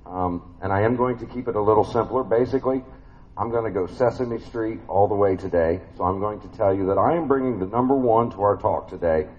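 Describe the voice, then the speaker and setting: A man's voice: fairly low pitch, brisk, highs cut off. A man with a big voice preaching a family sermon in a church, conversational and folksy, with many fillers and restarts.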